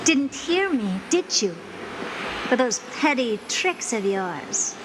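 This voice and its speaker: A woman's voice: a little high, background noise. A woman voicing a haughty, smug genius scholar in a video game, speaking in a pompous, mocking, theatrical manner. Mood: smug, menacing. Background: music.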